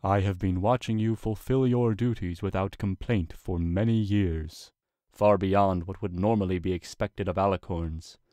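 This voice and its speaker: A man voicing a gentle, regal, ancient stallion king in a dramatic story reading, speaking slowly, formally and reassuringly. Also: low-pitched, fairly even intonation, no echo, close to an everyday voice. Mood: gentle, solemn. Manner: slow, deliberate, formal, reassuring. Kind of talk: dramatic fan fiction reading.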